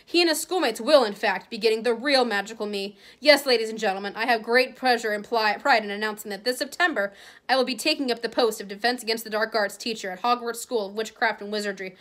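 A woman's voice: a little high, fast talker, a bit bright, lightly acted. A woman voicing a vain, showy male celebrity wizard making a grand theatrical announcement. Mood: grandiose.

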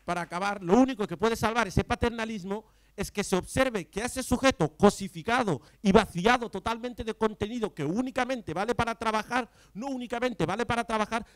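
The young man at a political rally's panel table, arguing his case in a high voice, close and dry on a handheld mic. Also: no room sound. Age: young adult. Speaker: panel speaker. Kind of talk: impassioned political panel talk. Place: panel table in an event tent before an audience. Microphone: handheld mic close to the mouth.

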